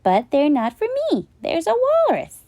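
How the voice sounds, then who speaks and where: A woman voicing an excited, insistent little boy in a storybook reading, with a high, eager, pleading manner. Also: unhurried, a little hiss or hum, exaggerated voice.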